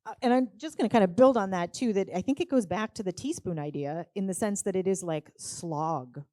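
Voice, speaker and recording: A woman's voice: medium pitch, fairly quick, dark tone, no echo, studio quality. A woman moderating a live conference panel, upbeat and playful as she keeps the talk going. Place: conference stage.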